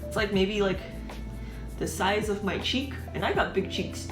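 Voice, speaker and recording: A woman's voice: mid-range voice, moderate pace. A young woman chatting casually in a food haul vlog, rambling and filling her talk with "like". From San Francisco Bay Area. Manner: chatty and rambling, constant "like" fillers. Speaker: vlogger. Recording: roomy sound with background music.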